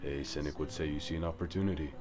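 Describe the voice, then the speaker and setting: A man playing a brooding, guarded vigilante in an audio drama, terse and controlled with a gravelly voice. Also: steady tone, fast, a little mellow, soft background music. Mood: measured, dry. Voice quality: low, gravelly.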